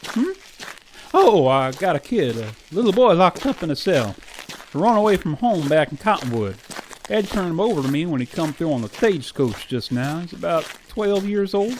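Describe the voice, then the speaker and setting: A folksy, eager frontier deputy, acted by a man in a western radio play, a slightly high voice that moves freely up and down. He talks in plain rustic phrases, with faint noise behind. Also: sing-song, moderate pace, darkish tone, poor audio. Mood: folksy, earnest. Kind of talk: old-time western radio drama acting.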